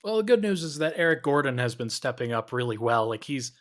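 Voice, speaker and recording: A man's voice: medium pitch, a little fast. Casual man hosting a basketball podcast. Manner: relaxed, thinking aloud with many fillers. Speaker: podcast host.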